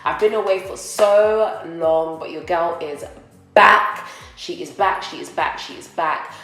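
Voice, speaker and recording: Young woman, low voice, slightly nasal, chatty rambling vlog, echoey office room. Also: a little slow, a bit bright, soft background music. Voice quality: slightly nasal from a recent cold. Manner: rambling and chatty, talks to viewers as friends. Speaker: beauty vlogger. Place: co-working office space, indoors.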